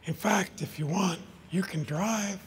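A man voicing Death as a lonely, gentle male figure in a storybook read aloud, soft-spoken and wondering. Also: sits high, measured pace, a little hiss or hum.

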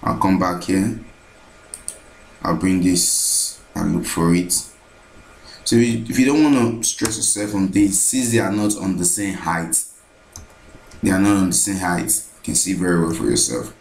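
A man with a very low voice talks slowly and steadily through a screen tutorial, saying each step aloud and often repeating it. His voice echoes in the room over a faint hiss. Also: vocal fry. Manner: steady, repetitive step-by-step narration, thinking aloud. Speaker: architecture software tutor. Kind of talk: screen-recorded software tutorial narration.

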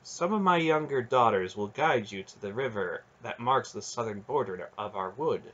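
A man voicing a gentle female dryad queen with soft, kind, measured speech. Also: mid-range voice, lively intonation, lo-fi sound. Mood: fond, calm.

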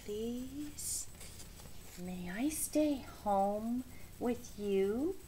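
A little raccoon boy from a storybook, played by a woman reading aloud, anxious and loving. The voice is lifted high and swings widely, with a childlike, pleading manner. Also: animated, drawn-out words, warm tone, slight background noise.

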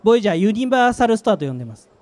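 Man, middle-aged, high voice, very lively intonation, emphatic short phrases, stage presentation, handheld mic. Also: rapid-fire. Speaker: company manager presenting a product. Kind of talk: live trade-show presentation with slides. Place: presentation stage at an exhibition venue. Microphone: handheld microphone.

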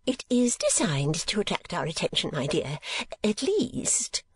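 A woman voicing a vain, rambling middle-aged gentlewoman mother in a storybook reading, with an affected, garrulous manner. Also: a little high, wildly swooping pitch, normal speed, slightly rough, dry recording, pitched above the performer's own voice, heavily acted. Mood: knowing, self-important.

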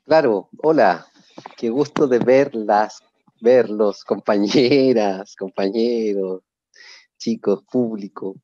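A man's voice: lowish voice, takes it slowly, warm tone, no room sound. A middle-aged man with a Chilean accent, an actor explaining his craft expressively in an online panel. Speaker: actor in a theatre company. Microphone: video call. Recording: dull, dry video call audio.

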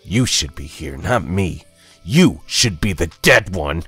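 A man performs a rotted male corpse in a horror story. The voice is very low and rough-edged, bitter and mocking, throwing accusations, with faint music underneath. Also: lively intonation, takes it slowly, no echo, exaggerated voice. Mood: bitter, accusing. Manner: sneering, mocking. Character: rotting corpse of a dead brother, bitter, accusing.

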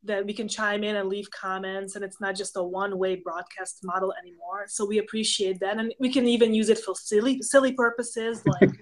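A woman's voice: mid-range voice, level tone, fairly quick, hardly any pauses, poor audio. A woman in her thirties speaks with a Hungarian accent, explaining ideas patiently and listing one example after another. She talks over a webcam on a video call from a book-lined home office. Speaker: professor of pastoral theology, guest. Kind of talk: casual interview conversation.

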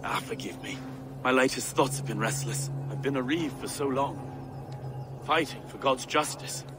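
A man's voice: mid-range voice, normal speed, noisy background, lightly acted. An actor playing an earnest, pious and troubled medieval lawman in a video game, grave and formal. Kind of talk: video game cutscene acting.

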